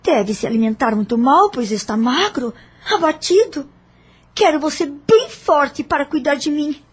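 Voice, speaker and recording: A woman's voice: high voice, expressive, measured pace. An actress playing a bitter, vengeful woman who threatens and taunts a former lover, in an audio drama. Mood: taunting. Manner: threatening, spiteful, scornful.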